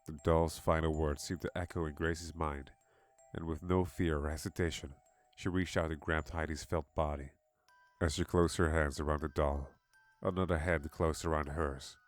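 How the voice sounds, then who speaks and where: Low-voiced man narrating horror. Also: steady tone, normal speed. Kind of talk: dramatic scripted horror narration. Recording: music behind the voice.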